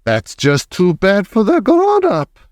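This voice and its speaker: A man's voice: high-pitched, huge pitch swings, slow, no echo, voice pushed up, exaggerated voice. A man reading an audiobook, voicing a bossy, contemptuous female witch leader who barks orders. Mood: commanding. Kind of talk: audiobook character voice.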